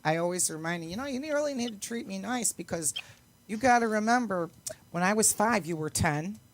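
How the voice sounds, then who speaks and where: A woman's voice: mid-range voice, animated, fairly quick. An older woman in casual chat, self-deprecating and often laughing as she talks. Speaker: guest, singer and entertainer.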